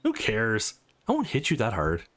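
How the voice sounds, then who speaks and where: A man's voice: lowish voice, wildly swooping pitch, moderate pace, fairly bright tone, voice pushed down. A man voicing a brash young female sparring partner in a visual novel, blunt and goading. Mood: taunting. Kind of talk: visual novel dialogue read aloud.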